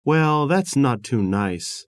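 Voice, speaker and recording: A woman's voice: extremely low pitch, expressive, slow, no echo, voice pushed down, exaggerated voice. A woman voicing an idle, sulky teenage boy neighbor in a storybook reading, grumbling to himself. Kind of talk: audiobook character voice.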